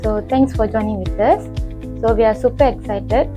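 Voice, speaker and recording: A woman's voice: on the high side, moderate pace. Polite woman interviewer over music. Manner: polite, hesitant questions. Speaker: co-host interviewer. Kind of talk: interview questions.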